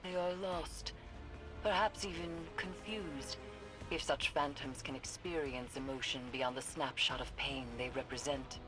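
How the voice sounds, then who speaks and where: Low-voiced serene mystic mentor. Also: female voice, low-quality recording. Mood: grave, explaining. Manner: calm, measured, formal. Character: serene, compassionate mystic woman mentor, knowing and grave. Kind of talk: video game voice acting. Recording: music behind the voice.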